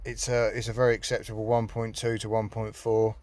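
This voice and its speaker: A man's voice: lowish voice, completely flat delivery, brisk, a little mellow. A British man giving a casual hands-on walkthrough, informal and colloquial, thinking aloud as he goes. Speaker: hobbyist vlogger. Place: in and around a parked car.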